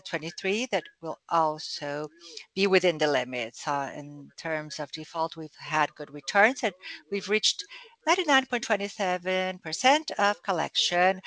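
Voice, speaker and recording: Bright-voiced man presenting quarterly results. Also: on the high side, no room sound. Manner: halting, many fillers and restarts. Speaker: company executive on earnings call. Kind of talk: formal earnings presentation.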